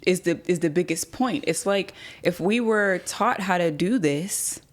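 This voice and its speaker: A young woman, a podcast guest in casual conversation, agreeable and quick to laugh. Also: lowish voice. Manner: agreeable, laughs easily, mock-quotes herself.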